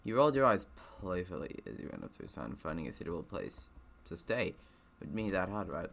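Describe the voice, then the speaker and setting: A man's voice: low voice, very animated, brisk, creaky, a bit bright. A man reading a game's story aloud with casual, rambling asides, breaking off to comment as he goes. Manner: rambling, self-interrupting. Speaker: gaming video host.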